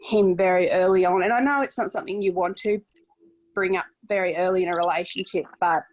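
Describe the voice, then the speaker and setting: A woman's voice: fairly low pitch, brisk, rough recording. A woman in her late twenties co-hosting a casual chat, speaking openly and candidly with many fillers. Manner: open, candid, rambling with fillers. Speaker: podcast co-host. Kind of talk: casual video-call chat.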